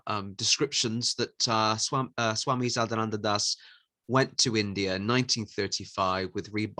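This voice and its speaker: A man hosting a podcast interview, speaking haltingly with many fillers and taking care over names. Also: on the low side, bright, forceful tone. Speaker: podcast host. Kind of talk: casual interview chat.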